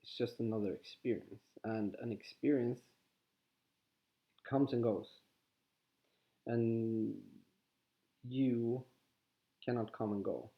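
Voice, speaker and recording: A man with a Swedish accent, whose first language is Swedish, calmly guiding listeners through a contemplative teaching, often pausing and restarting his sentences. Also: mid-range voice. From Sweden. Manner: calm, halting, with frequent restarts. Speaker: spiritual teacher. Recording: slightly dull lo-fi audio.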